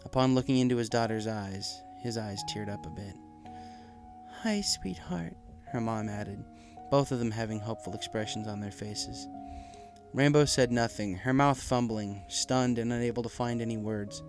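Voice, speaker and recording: A man's voice: fairly low pitch, steady tone, moderate pace. A man reading a story aloud as dramatic scripted narration. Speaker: story reader. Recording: music playing behind the voice. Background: music.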